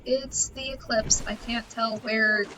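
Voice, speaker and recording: Slow-paced, high-voiced woman, noisy stream. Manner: playful, teasing, wry. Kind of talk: casual gaming stream chat with friends. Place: voice chat on a game stream. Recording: noisy voice chat, steady rumbling hiss.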